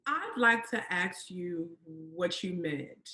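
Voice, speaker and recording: A woman acting a guarded, firm character in a live staged play reading, with deliberate, emphatic phrasing over a video call. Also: lowish voice, expressive, bright, forceful tone, roomy sound, heavily acted. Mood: guarded, challenging. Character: guarded, firm woman confronting an acquaintance over racial politics. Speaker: actor in a virtual staged reading. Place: indoor room, streamed over video webinar.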